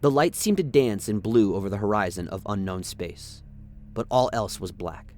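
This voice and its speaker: A man's voice: fairly low pitch, normal speed, darkish tone, soft background music. A man narrating a science-fiction radio play in a dramatic, scripted storytelling style. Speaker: narrator, lore reader.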